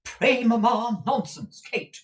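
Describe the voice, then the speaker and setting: A vain, fussy middle-aged mother from a storybook, as a man puts her on, her voice pitched well up and swinging widely. She speaks grandly, quick to take offense and quick to pity herself. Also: big pitch swings, a bit bright. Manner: pompous, indignant, self-pitying.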